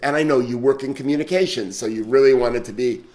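A man's voice: mid-range voice, fast talker, darkish tone. A man coaching pronunciation in class, speaking off the cuff, bluntly and with repetition. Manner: blunt, repeating corrections. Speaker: pronunciation teacher, linguistic consultant.

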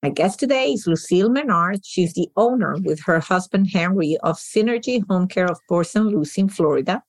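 A woman's voice: lowish voice, animated, fairly quick, no room sound. A woman hosting an interview podcast, talking in an encouraging way with many restarts and fillers. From outside the US. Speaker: podcast host. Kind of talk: conversational interview.

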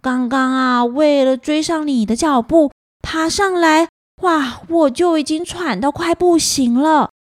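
Woman acting out a timid, high-voiced little lost girl. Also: unhurried, hardly any pauses, dry recording, studio quality. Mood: anxious. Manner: exclaiming, earnest, wondering.

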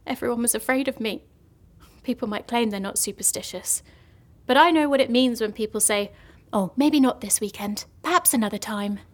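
A woman's voice: a little high, moderate pace, lightly acted. An actress playing a lonely, gloomy young woman in an audio drama comedy, telling morbid stories earnestly and hesitantly. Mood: bitter, mournful.

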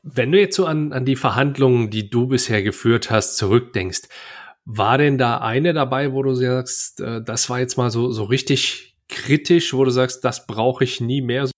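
A man's voice: mid-range voice, normal speed. A man hosting a podcast interview, asking long questions with restarts. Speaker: podcast host.

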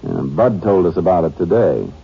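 An American actor playing a shrewd, laconic Texas Ranger in a 1950s radio drama, terse and matter-of-fact. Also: male voice, low voice, very animated, moderate pace, creaky, slightly rough, exaggerated voice. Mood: casually probing. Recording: muffled old radio recording, faint music.

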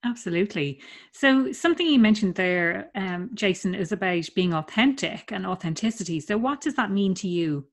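A woman hosting a podcast interview, chatty and upbeat, her voice still a little hoarse from being ill. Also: medium pitch, animated, normal speed. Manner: chatty, upbeat, loose phrasing. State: recovering from illness, slightly hoarse. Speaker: podcast host.

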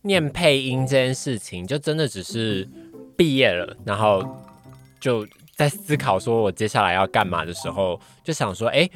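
A man's voice: on the low side, expressive, moderate pace, faint music behind. A young man with a Taiwanese Mandarin accent, chatting casually as an interview guest in a hesitant, modest way. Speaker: podcast guest, trainee voice actor.

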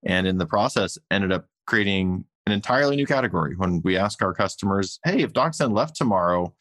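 A man's voice: sits low, fairly quick, dry recording, clean studio sound. A man answering as a guest in a podcast interview, telling stories in an easygoing way and acting out what people said. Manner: relaxed storytelling with acted-out quoted asides. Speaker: guest, company co-founder and CEO.

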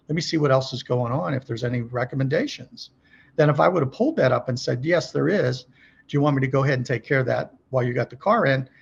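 Gravelly, husky man coaching conversationally. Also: medium pitch, highs cut off. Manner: emphatic, asks rhetorical check questions, acts out sample calls. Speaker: podcast guest, car dealership service trainer.

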